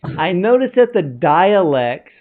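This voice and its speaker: A man's voice: high voice, sing-song, slow. A man hosting a film interview, asking questions in an eager, chatty way and often restarting his sentences. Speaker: film podcast host. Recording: tinny phone-like line.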